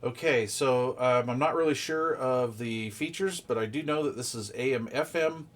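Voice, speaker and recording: A man's voice: medium pitch, moderate pace. A man giving a casual, hands-on unboxing commentary, explaining details informally and thinking aloud as he goes. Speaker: product reviewer.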